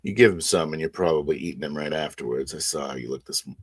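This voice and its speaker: Low-pitched man roleplaying casually. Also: fast, breathy edge. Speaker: player in a tabletop roleplaying game. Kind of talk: improvised in-character roleplay and first-person narration.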